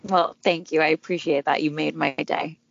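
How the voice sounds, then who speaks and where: A woman's voice: sits low, steady tone, normal speed, gravelly, highs cut off. A woman guest on a podcast, speaking upbeat and direct in casual conversation. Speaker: podcast guest, dating expert. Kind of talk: casual interview chat.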